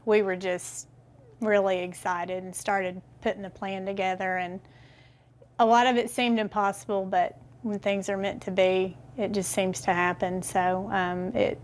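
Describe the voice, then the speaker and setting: A woman's voice: mid-range voice, flat delivery, unhurried. A woman, the owner's daughter, giving earnest, eager interview answers.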